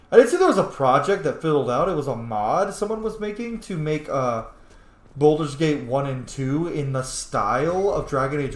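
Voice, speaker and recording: Excitable, sweary gamer man with swinging pitch. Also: roomy sound. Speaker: gaming video host. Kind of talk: casual gameplay commentary.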